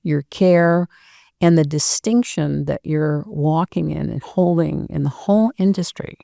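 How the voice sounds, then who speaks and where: Interviewer with a gravelly mellow voice, long admiring sentences, dry sound. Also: medium pitch, measured pace, no room sound. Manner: warm, admiring, speaks in long flowing sentences. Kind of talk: conversational interview.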